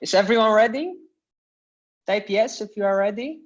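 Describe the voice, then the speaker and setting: A woman's voice: medium pitch, normal speed. A woman from Poland teaching a casual online Dutch class, giving instructions in a friendly, hesitant way with fillers. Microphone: computer mic on a video call. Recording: dull lo-fi video call audio.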